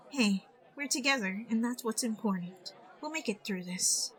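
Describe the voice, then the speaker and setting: A woman playing a gentle, tearful young pony mare in a dramatised fan fiction, speaking softly and tenderly. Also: on the high side, a faint crowd, natural voice, rough recording. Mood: tender, reassuring. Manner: soft, slow, tender.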